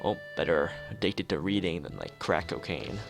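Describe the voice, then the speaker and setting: Man acting a woman librarian, nervous, apologetic, kindly, animated pitch, visual novel character. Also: normal speed, creaky, over music. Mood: flustered.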